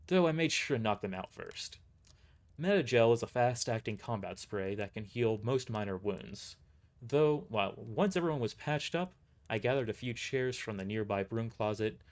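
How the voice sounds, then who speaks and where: A man narrating a story expressively in the first person, occasionally stumbling and repeating words as he reads. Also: mid-range voice. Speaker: narrator and performer.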